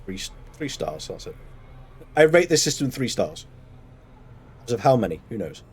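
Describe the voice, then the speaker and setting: A man's voice: medium pitch, very animated, moderate pace, a little mellow. A man with a Mancunian accent chatting casually while live-streaming a game, rambling and laughing often. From Manchester, England.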